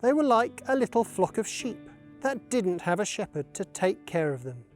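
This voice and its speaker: A woman's voice: medium pitch, very animated, moderate pace, a little mellow, faint music behind. A woman reading a Bible story aloud for children. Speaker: story reader.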